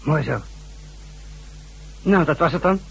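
An actor playing a ruthless, coolly mocking chief of a secret organisation in a Dutch radio drama, husky and commanding. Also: male voice, fairly high pitch, animated, slow, slight background noise, heavily acted, poor audio. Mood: coldly mocking.

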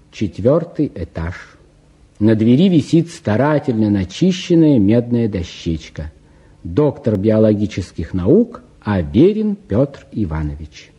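A man narrating a radio play in a husky voice, speaking directly to the listener as he sets the scene. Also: lowish voice, big pitch swings, slow pace, dark tone, heavily acted. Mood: descriptive, calm. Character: narrator, a storyteller introducing his characters fondly. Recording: dull, muffled old radio recording.

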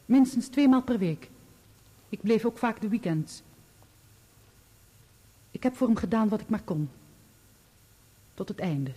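Composed, mellow-voiced woman witness. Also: fairly high pitch, animated, slightly rough. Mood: wistful, devoted. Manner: measured, articulate answers in full formal sentences. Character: composed, loyal bereaved woman testifying as a witness. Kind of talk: Dutch radio play acting.

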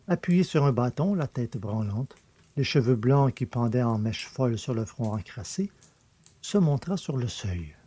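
A man's voice: mid-range voice, mellow, husky, no room sound. A man reading literary fiction aloud as a scripted audiobook narration.

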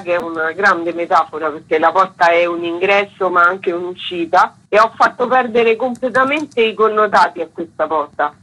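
A woman talks in a reflective interview about her theatre work. Her voice is husky and tense, and she explains things carefully, stopping now and then to find the word. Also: medium pitch, a bit bright, low-quality recording. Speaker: theatre artist being interviewed. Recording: radio interview broadcast.

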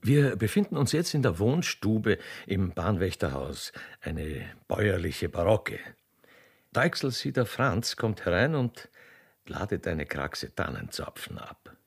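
A man's voice: low voice, very animated, measured pace, gravelly, slightly rough. A man narrating a radio play in a theatrical, mock-solemn and ceremonious way.

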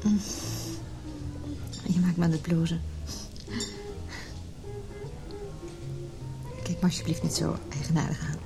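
A woman's voice: deep voice, level tone, fairly quick, vocal fry, music in the background. An actress playing an earnest, frank married woman in a radio play. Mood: earnest, self-conscious. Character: sincere, earnest married woman named José.

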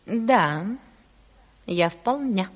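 A woman's voice: medium pitch, animated, drawn-out words. An actress playing a naive, gushing bourgeois lady in a theatrical radio drama, giggly and affected, with a mannered drawl. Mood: gushing, simpering. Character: coquettish, naive, gushing bourgeois lady seeking Party membership. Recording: reverberant 1970s radio studio.

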